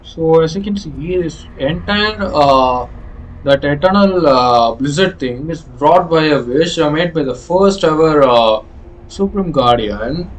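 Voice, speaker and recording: A man giving explanatory video game playthrough commentary in a halting, hesitant way. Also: a little high, animated, rough recording. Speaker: gaming video creator.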